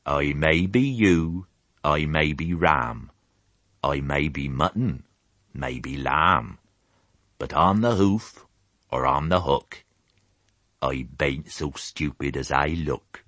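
Narrator doing slow, solemn sheep chant, deep and drawn out. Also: male voice, sing-song, bright, forceful tone, husky, no echo, full character voice.

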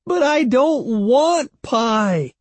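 Man as a high-pitched, pleading young boy. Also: very animated, extremely slow, vocal fry, warm tone, husky, no echo, exaggerated voice. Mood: miserable wailing. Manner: pleading, wailing. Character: anxious, miserable young boy, pleading and whiny.

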